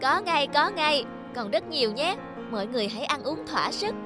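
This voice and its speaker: A man's voice: very high-pitched, big pitch swings, a little fast. A man playing a lonely, kind-hearted big red demon in a cartoon, with an earnest, emphatic delivery. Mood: cheerful. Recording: music under the dialogue.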